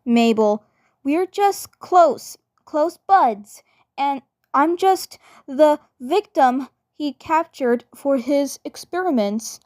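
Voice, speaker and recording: A woman's voice: sits high, expressive, slow pace, darkish tone, voice pushed up. A woman voicing a flustered, feisty, lovestruck teenage sister in a fan dub, repeating phrases emphatically. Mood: nervous cover-up.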